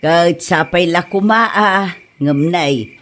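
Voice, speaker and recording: A woman's voice: on the low side, takes it slowly, fairly bright tone, slight background noise. A woman with an Ilocano accent playing a nosy village woman, questioning and teasing, in a comedy audio drama. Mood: teasing, curious.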